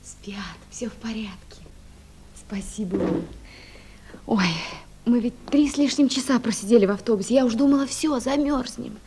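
An actress playing an anxious woman minding sleeping children, speaking in a hushed voice in a 1970s Soviet radio play. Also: fairly high pitch, normal speed, slight background noise, lightly acted. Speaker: actor.

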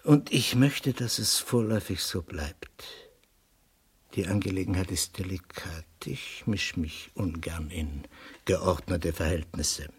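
An actor playing a stern, sceptical police inspector in a 1960s German radio crime drama, questioning in a dry, sarcastic way. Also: male voice, sits low, slow, breathy edge, no echo, exaggerated voice. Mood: brisk, guarded.

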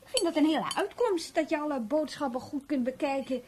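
Excitable, high-voiced housewife. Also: female voice, brisk, a little hiss or hum. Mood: chatty, teasing. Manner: animated, stammering when excited. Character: excitable, credulous housewife doting on her jobless husband. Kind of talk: Dutch radio play acting.

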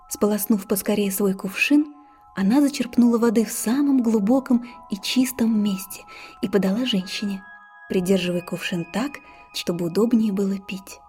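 A woman narrating a fairy tale in an expressive storytelling manner, with soft breathy asides. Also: mid-range voice. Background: faint music.

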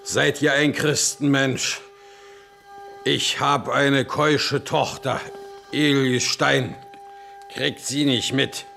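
A man's voice: medium pitch, level tone, very slow, creaky, pauses often, pushed and bright, full character voice. An actor playing a blunt, coarse Prussian king in a 1970s radio play, with music behind. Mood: gruff. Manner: coarse, plain-spoken, mocking. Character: blunt gruff Prussian king, middle-aged man. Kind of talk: 1970s radio drama acting.

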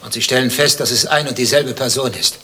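An actor playing a jealous, suspicious husband in a satirical radio play, questioning in an insistent, indignant, pedantic way. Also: male voice, mid-range voice, brisk, pushed and bright, slight background noise, close to an everyday voice. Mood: probing, suspicious. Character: jealous, suspicious husband, a dentist, interrogating his rival.

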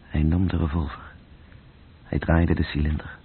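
An actor playing a sombre, detached storyteller, narrating a radio play in a very husky literary voice. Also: male voice, very deep voice, flat delivery, moderate pace, slight background noise. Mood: tense, restrained. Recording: muffled, phone-like old radio recording.

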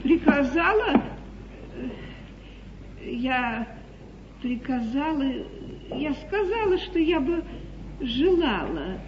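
A woman's voice: sits high, sing-song, drawn-out words, long pauses, exaggerated voice. An actress playing a naive, hesitant queen who is easily led, in 1950s stage comedy style. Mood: flustered, formal. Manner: easily led, regal but uncertain. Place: theatre stage, recorded live from the hall. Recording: noisy, echoey, muffled 1950s theatre recording.

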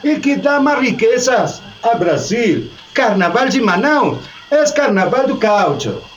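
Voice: mid-range voice, big pitch swings, slow, highs cut off, a little hiss or hum. An actor playing a jolly, teasing carnival barker in a radio play, with a showy sing-song delivery and tinged Spanish. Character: jolly, teasing Brazilian carnival barker inviting people along. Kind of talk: theatrical radio drama acting.

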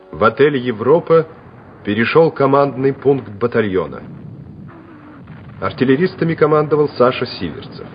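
A man reading scripted dramatic narration for a war radio drama. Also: mid-range voice. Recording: dull vintage radio recording with music.

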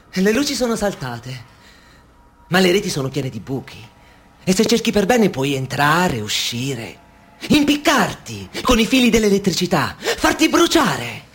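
An actor in a radio play playing a guarded young man who is tense with strangers and gentle with the woman. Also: high-pitched, big pitch swings, measured pace, slightly rough, heavily acted. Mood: grim.

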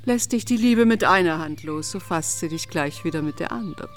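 A woman's voice: on the low side, lively intonation, a little fast, faint music behind, close to an everyday voice. A woman playing a mysterious fortune teller in a literary radio play, giving solemn warnings.

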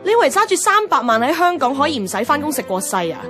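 A woman's voice: high voice, very animated, fast, fairly bright tone, soft background music. An actress playing a jealous, wistful young woman from radio, with a Hong Kong Cantonese accent, in reflective, emotional radio drama delivery. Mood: wry, surprised. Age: young adult. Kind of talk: Cantonese radio drama dialogue and inner monologue.

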